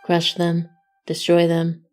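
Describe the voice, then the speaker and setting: A woman voicing a deadpan, literal-minded female earth pony in a cartoon-style fan audio drama, speaking matter-of-factly. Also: lowish voice, slow, soft background music. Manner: deadpan, flat, matter-of-fact.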